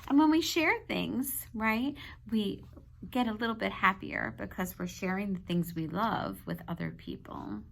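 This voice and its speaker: A woman teacher reading a children's book aloud, pausing to explain simply and check in with her young listeners. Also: medium pitch, very animated, creaky, a bit bright, a little hiss or hum. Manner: instructive, addressing young children with check-in questions.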